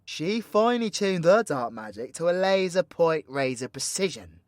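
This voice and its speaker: A man's voice: fairly high pitch, very animated, moderate pace, no room sound, clean studio sound. A British man playing a cocky, foul-mouthed supervillain in an audio roleplay, talking in a slangy, mocking, theatrical way to an ally. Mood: teasing, instructive. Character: cocky, foul-mouthed male supervillain plotting mayhem, teasingly mentoring ally. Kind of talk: villain roleplay audio drama, intimate monologue to listener.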